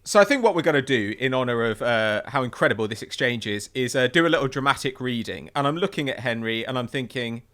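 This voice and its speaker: British male podcast host, young, forceful bright tone, football discussion. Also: medium pitch, animated, fast talker, hardly any pauses.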